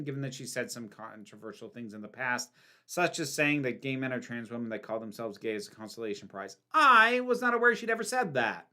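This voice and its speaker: A woman's voice: extremely low pitch, pushed and bright. Woman, livestream host, casual Q&A, candid, lots of ums and restarts. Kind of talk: casual livestream Q&A, reading chat questions.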